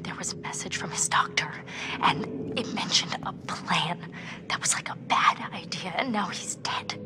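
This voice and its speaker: An actress playing a frightened but sharp young woman in a horror game, with a hushed, urgent delivery. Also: lowish voice, animated, moderate pace, whispered, natural voice. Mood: hushed urgency. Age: young adult. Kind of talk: breathy, naturalistic dramatic game acting. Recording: loud game music behind the voice.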